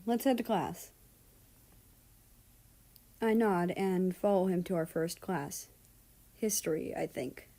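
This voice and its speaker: A woman's voice: fairly low pitch, dark tone. A woman reading fan fiction aloud as a first-person narrator.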